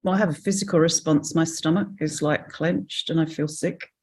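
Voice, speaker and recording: A woman's voice: low-pitched, fairly even intonation, normal speed, darkish tone, husky. A woman taking part in a video call session, speaking hesitantly about something personal. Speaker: participant.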